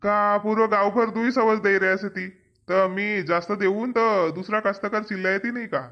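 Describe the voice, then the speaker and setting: A man's voice: high voice, steady tone, normal speed, pushed and bright. A man playing a tight-fisted village farmer in a cartoon comedy, bargaining firmly with a Powari accent. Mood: questioning. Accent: Powari, eastern Maharashtra and Balaghat region. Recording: dull, muffled lo-fi sound.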